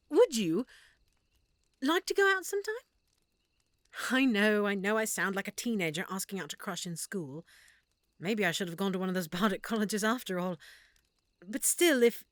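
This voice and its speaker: A woman voicing a shy, flustered half-elf shopkeeper who stammers softly and nervously. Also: medium pitch, animated, moderate pace, no room sound, studio quality, close to an everyday voice. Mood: shy, tender. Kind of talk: audio roleplay acting.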